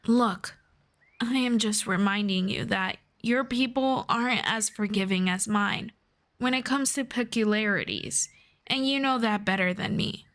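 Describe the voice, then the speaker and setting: A woman playing a gentle, earnest elf friend in a soft-spoken, measured ASMR fantasy roleplay. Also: medium pitch, lightly acted. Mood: concerned. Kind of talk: slow scripted ASMR roleplay.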